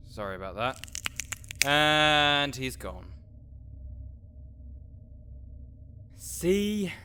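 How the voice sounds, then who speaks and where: A man's voice: fairly high pitch, pushed and bright, slight background noise, heavily acted. A man playing a grouchy, scholarly necromancer in an audio roleplay, condescending, pedantic and sarcastic. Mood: dry impatience. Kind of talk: audio roleplay acting addressed to the listener.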